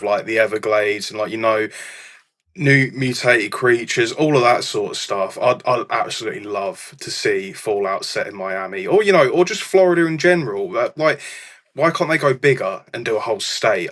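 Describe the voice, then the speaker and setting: A man's voice: lowish voice, fairly bright tone. A man with a British accent chatting casually and enthusiastically as a guest on a gaming podcast. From United Kingdom. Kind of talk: casual chat.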